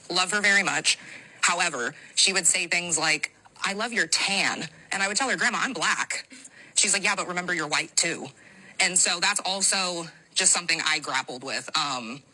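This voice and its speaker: Very bright, sharp-voiced American woman. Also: low voice, low-quality recording. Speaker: debate show participant. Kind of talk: personal account in a panel discussion.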